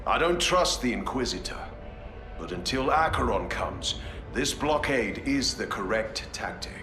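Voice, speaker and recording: An actor playing a stern, distrustful space marine commander speaking in a measured, commanding way. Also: male voice, mid-range voice, expressive, echoey room, music in the background, close to an everyday voice.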